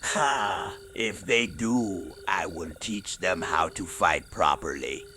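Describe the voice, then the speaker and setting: Big orc brute, played by a man, low and unhurried, boastful and blunt, fantasy audio drama with clear swamp-night noise. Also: bright, forceful tone, exaggerated voice. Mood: blithely comic. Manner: blustering, blunt.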